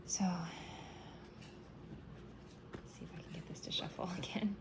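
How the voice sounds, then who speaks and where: A woman giving a casual morning tarot reading, soft-spoken and meandering, her voice still scratchy from waking up. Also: fast, in a whisper. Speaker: tarot reader.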